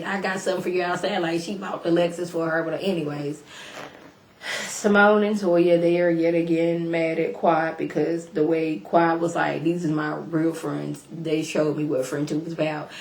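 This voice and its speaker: A woman with a lowish voice talks to her viewers in a casual, chatty way, full of slang. She sounds a little hoarse from a cold. The room around her is echoey. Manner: chatty, animated, slangy, addresses viewers directly. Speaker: YouTube reality TV reviewer. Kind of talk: casual recap vlog.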